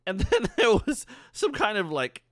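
A man's voice: high-pitched, wildly swooping pitch, unhurried, dry recording, studio quality. A young man streaming a reaction, talking casually, exclaiming and laughing a lot as he comments on what he sees. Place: dim home streaming room with colored lights. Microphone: headset. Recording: loud music under the voice. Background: anime music playing.

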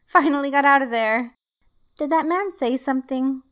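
A woman plays a headstrong young rebel girl from a video game. Her voice sits high, eager and insistent, in an amateur dub style. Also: moderate pace, a bit bright. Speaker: fan dub voice actor.

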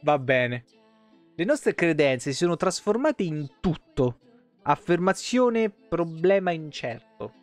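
A man's voice: a little high, big pitch swings, takes it slowly. A man, doing irreverent, sweary live game commentary and reading lore aloud with casual asides. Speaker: game streamer. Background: game music.

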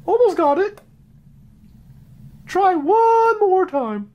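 A man's voice: very high-pitched, sing-song, very slow, highs cut off, pitched above the performer's own voice, heavily acted. A man voicing a pushy show-off unicorn in an improvised, absurd puppet comedy. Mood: eager, goading. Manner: goading, exaggerated.

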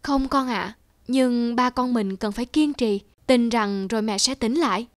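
Woman acting a high-voiced, anguished devoted husband. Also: measured pace, close to an everyday voice. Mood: resolute. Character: distressed devoted husband and father of middle age. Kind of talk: animated moral-story dialogue.

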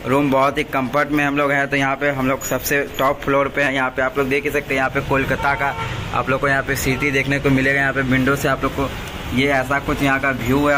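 A young man chatting to viewers in a casual travel vlog, filmed on a handheld phone. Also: medium pitch. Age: young adult. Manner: chatty, addresses viewers as guys. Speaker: vlogger. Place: streets, hotel room and taxi in a city. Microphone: phone camera held at arm's length. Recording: loud traffic noise and crowd.

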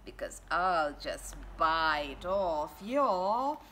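A woman's voice: fairly low pitch, sing-song, very slow, sharp tone, slight background noise, pitched below the performer's own voice, heavily acted. Woman voicing a ferocious, boastful tiger. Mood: menacing boasting. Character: ferocious, boastful Siberian tiger, huge and menacing.

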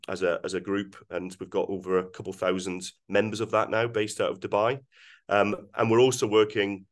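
Scottish man, very low voice, hesitant, video call webinar presenter. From Scotland. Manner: hesitant, many ums and restarts. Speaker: webinar guest speaker.

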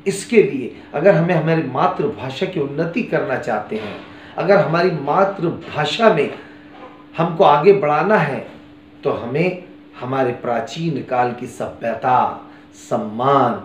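Middle-aged guy teaching a poem, slow and earnest, roomy studio with a bit of hiss. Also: a little high, a little mellow. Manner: earnest and preachy, repeating key phrases. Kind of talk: classroom lesson explaining a poem. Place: studio with green screen background. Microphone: lapel mic. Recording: roomy studio with faint noise.

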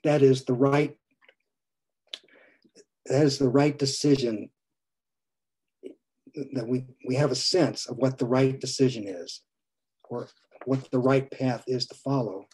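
A priest in his sixties preaching a gentle sermon from a home study over a video call, his phrases trailing off softly. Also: mid-range voice, fairly even intonation, takes it slowly, pauses often, breathy edge, poor audio. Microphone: video call webcam.

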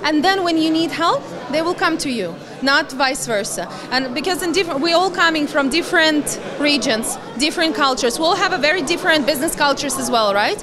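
A woman's voice: sits high, animated, brisk, hardly any pauses. A woman from Latvia in her thirties, giving earnest answers in a conference interview, speaking into a handheld mic. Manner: earnest, slightly non-native grammar. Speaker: guest, fund managing partner. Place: conference hall, in front of a banner wall. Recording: busy conference crowd behind. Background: crowd chatter.

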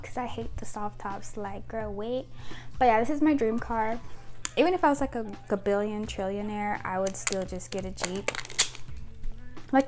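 A young woman with a soft voice chatting casually to camera in her bedroom, rambling with giggly asides, on a clip-on mic. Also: on the high side. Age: young adult. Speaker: vlogger. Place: bedroom, indoors. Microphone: clip-on mic after the first part.

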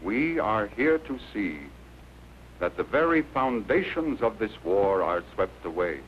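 An actor playing a solemn, idealistic American president delivering a formal speech in a deliberate manner. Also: male voice, fairly low pitch, sing-song. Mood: solemn, lofty. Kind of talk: formal oratory, read speech. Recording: dull, faintly noisy old film soundtrack.

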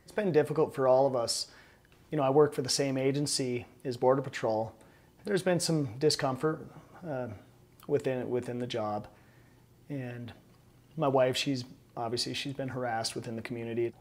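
A man's voice: medium pitch, steady tone, unhurried, darkish tone. Male interviewee, American accent, thirties, mid-range voice, telling his own story at home. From United States. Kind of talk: personal account in an interview. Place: living room at home.

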